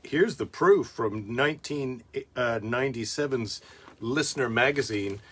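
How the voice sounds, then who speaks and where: A man's voice: medium pitch, a little slow, fairly bright tone, breathy edge. A man co-hosting a casual chat, teasing and playful as he shows old photos. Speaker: co-host.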